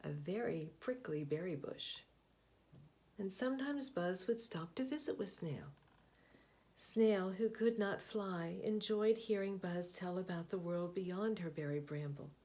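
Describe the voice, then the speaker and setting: Slow expressive woman reading a picture book. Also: lowish voice. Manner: expressive, slow, hushed asides. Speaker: storybook reader. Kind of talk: picture book read-aloud.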